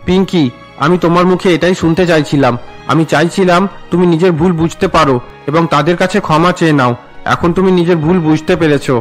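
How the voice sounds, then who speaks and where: High-pitched, earnest, reproachful husband acted by a man. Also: fairly quick, faint music behind, natural voice. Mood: firm, earnest. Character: stern but caring husband and father, reproachful. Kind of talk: melodramatic cartoon dialogue.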